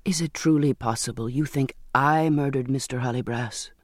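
A narrator voicing an old seaman in an audiobook, a gentle, shrewd friend who coaxes earnestly in formal, old-fashioned speech. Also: medium pitch, moderate pace, dry recording, studio quality, natural voice. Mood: sober, probing.

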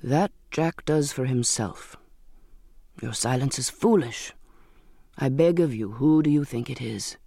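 A narrator voicing an old seaman in an audiobook, a gentle, shrewd friend who coaxes earnestly in formal, old-fashioned speech. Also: medium pitch, expressive, unhurried, vocal fry, no echo, clean studio sound, pitched below the performer's own voice. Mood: grave, insistent.

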